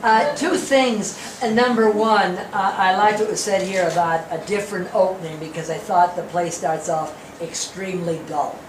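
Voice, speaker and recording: A woman in the audience giving measured, blunt feedback at a discussion after a play reading. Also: fairly low pitch. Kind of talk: structured spoken critique. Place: theatre during post-reading discussion, far from mic. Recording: echoey room, distant mic, faint noise.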